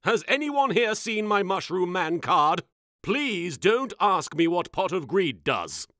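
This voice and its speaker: A man's voice: sits high, very animated, takes it slowly, background noise, exaggerated voice. A man voicing an arrogant, theatrical young anime duelist in a comedy parody, with haughty, over-enunciated delivery. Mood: haughty, smug. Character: arrogant, pompous young male anime duelist in a recording booth. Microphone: close studio microphone.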